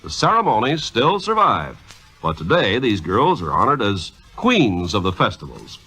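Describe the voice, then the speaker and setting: Animated, bright-voiced male radio narrator. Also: fairly low pitch, moderate pace, slightly rough, faint music behind, rough recording. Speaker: radio intermission speaker. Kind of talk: 1950s scripted radio narration.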